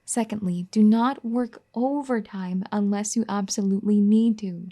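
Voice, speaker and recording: A woman playing a soft, bossy caring wife. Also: mid-range voice, steady tone, moderate pace, close to an everyday voice. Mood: firm caring. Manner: soft-spoken, gently scolding. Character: caring, firm young wife, gently bossy. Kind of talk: ASMR roleplay.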